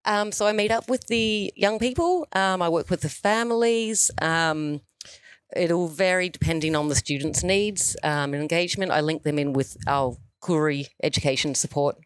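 A woman's voice: on the low side, normal speed, smooth, no room sound. This is a woman, giving interview answers as a teacher. She comes across as earnest and thoughtful. The sound is dry, with hardly any room around her voice. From New Zealand.

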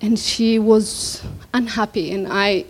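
A woman from Somalia gives a keynote talk at an evening event, speaking at a slow, unhurried pace. Her voice sits at a medium pitch. She pauses often and fills gaps with small hesitations as she tells her story. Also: steady tone. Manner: slow, reflective, with many pauses and fillers. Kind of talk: personal story speech. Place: event hall before an audience.

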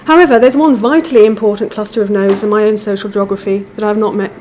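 A woman giving a measured, formal academic lecture from a prepared text. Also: medium pitch, fairly even intonation, darkish tone, a little hiss or hum. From England.

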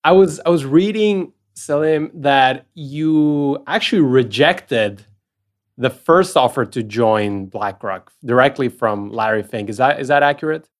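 A man with an ordinary mid-pitched voice hosts a podcast. He asks his guest questions in a curious, friendly way and is quick to laugh along. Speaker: podcast host. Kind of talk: interview questions.

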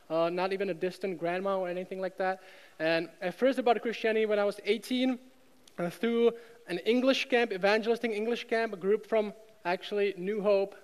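A man's voice: high-pitched, clear, resonant tone. A man from the Czech Republic with a Czech accent, preaching a sermon with emphatic repetition and rhetorical questions. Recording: long hall reverb.

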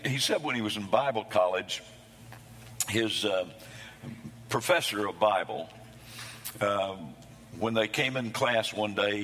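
Man, preacher, hoarse voice, bright edge, long church reverb. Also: on the low side. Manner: folksy, self-deprecating humor. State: hoarse, losing his voice. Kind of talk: sermon. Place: church sanctuary.

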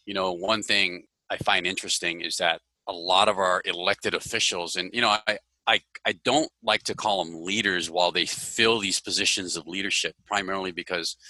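Deep, bright-toned man from Hawaii. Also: dry recording, poor audio. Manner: storytelling, many "right?" tags. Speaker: podcast guest. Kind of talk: casual interview chat.

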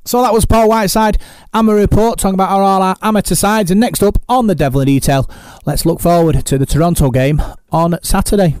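High-voiced man hosting a sports show. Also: fast talker, a little mellow, slight background noise. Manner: loose, conversational, corrects himself. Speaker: radio sports show host. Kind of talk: presenting a sports radio show.

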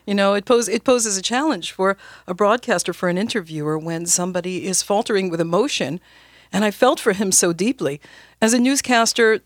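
A woman who works as a radio newscaster, speaking conversationally in an interview with expressive, theatrical, emphatic phrasing. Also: mid-range voice, fairly quick, no echo, studio quality.

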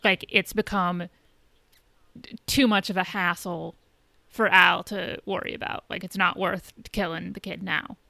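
A woman with a bright, forward voice at a medium pitch co-hosts a casual podcast discussion. She explains and rephrases as she goes, recorded dry with no room sound. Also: fairly even intonation, a little slow, vocal fry, no echo. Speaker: podcast co-host.

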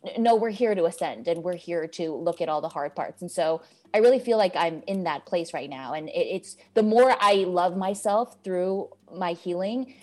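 A woman's voice: lowish voice, animated, moderate pace, hardly any pauses, warm tone. A woman from New York talking in a casual podcast conversation as a guest, passionate and emphatic about self-growth. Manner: passionate, emphatic, spiritual self-help talk.

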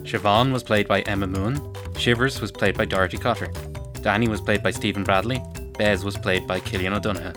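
A man's voice: lowish voice, fairly even intonation, brisk. A man reading scripted closing credits as an announcer for an audio drama. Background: music.

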